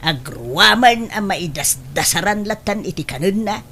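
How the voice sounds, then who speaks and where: A woman's voice: medium pitch, lively intonation, unhurried, bright, forceful tone, breathy edge, full character voice. A woman with an Ilocano Filipino accent playing a long-suffering, complaining older mother-in-law in a comedy drama.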